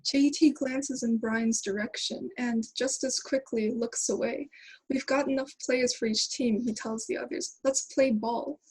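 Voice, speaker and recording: A woman's voice: on the high side, steady tone, unhurried, a little mellow, slightly rough, low-quality recording. A woman reading a children's picture book aloud. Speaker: read-aloud reader.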